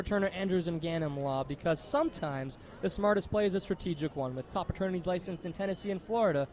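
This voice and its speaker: High-pitched young American man, baseball commentary. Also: a little mellow. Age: young adult. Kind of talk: live radio sports commentary.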